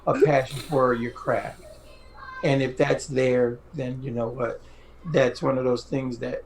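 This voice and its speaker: A man's voice: medium pitch, flat delivery, unhurried, creaky, a little mellow, a little hiss or hum, low-quality recording. A middle-aged man co-hosting a podcast, talking casually and effusively, repeating phrases for emphasis. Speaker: podcast co-host. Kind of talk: casual enthusiastic group chat.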